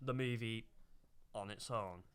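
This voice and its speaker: A man's voice: fairly low pitch, a little slow, a bit bright, smooth, no echo, studio quality. A man co-hosting a casual chat about video games and films, rambling and repeating phrases as he goes. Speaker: co-host of a video game discussion show.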